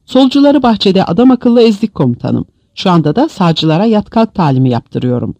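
A harsh military commander, acted by a male audiobook reader, authoritative and forceful. The voice sits high for a man and moves sharply up and down. The sound is very dry and close. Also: very animated, a little fast, gravelly, dry recording, exaggerated voice. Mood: commanding. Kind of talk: dramatized audiobook reading.

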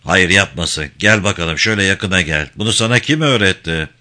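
Male reader as reserved gentleman, lowered pitch, bright timbre, formal, measured, admonishing, storybook character. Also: animated, heavily acted. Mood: stern. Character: reserved city-bred gentleman prisoner, courteous but stern.

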